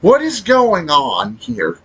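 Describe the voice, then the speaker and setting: A man with a rough, husky voice talks through his gaming commentary off the cuff. His pitch moves up and down a lot while the pace stays slow. He rambles and halts, reworking his thoughts as he speaks. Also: wildly swooping pitch, a bit bright, rough recording. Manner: rambling, halting delivery with trailing thoughts. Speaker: video creator commenting on a game. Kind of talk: unscripted casual gaming commentary.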